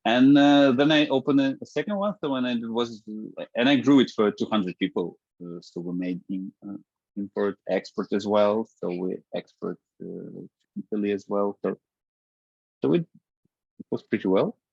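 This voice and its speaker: A man, a guest in an interview, talking in a halting way with many restarts and fillers. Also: on the low side, gravelly, a little mellow, no echo, lo-fi sound. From Ukraine. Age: 30s. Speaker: guest, startup founder. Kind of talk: casual interview talk.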